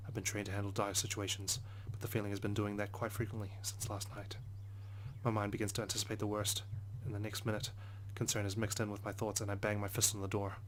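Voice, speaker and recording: A man's voice: fairly low pitch, level tone, fast, a little hiss or hum. A man reading visual novel narration aloud in an introspective, first-person manner. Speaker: let's play narrator.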